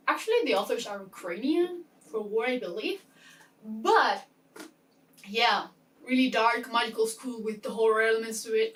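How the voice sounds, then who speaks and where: High-voiced woman chatting, slightly off-mic. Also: recorded from a distance, rough recording. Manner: excitable, chatty, self-interrupting with asides. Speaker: book vlogger. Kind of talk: casual chatty reading-plan talk to camera.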